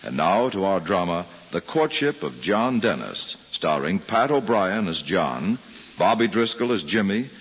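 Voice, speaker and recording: A man's voice: sits low, sing-song, unhurried, husky. An American man announcing formally on 1940s radio in a solemn, stately, emphatic manner. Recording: dull old radio broadcast, loud music.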